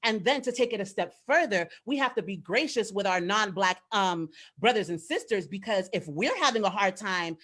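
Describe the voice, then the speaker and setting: A woman speaks as a panelist in an online discussion. Her delivery is earnest and emphatic as she works through her points, and her pitch sits in a middle range. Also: animated, moderate pace, hardly any pauses, fairly bright tone. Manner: earnest, emphatic, reflective with occasional laughs. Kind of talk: panel discussion, impassioned commentary.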